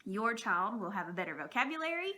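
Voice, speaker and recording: A woman's voice: medium pitch, sing-song, brisk, pushed and bright. A woman speaking as a teacher, giving informal, encouraging and reassuring advice to parents in a plain-spoken, folksy way.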